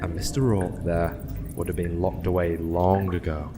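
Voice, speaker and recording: An actor playing a stern, commanding general with a measured, sardonic manner in a fantasy audio drama. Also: male voice, low voice, little pitch movement, lightly acted. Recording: clear background noise.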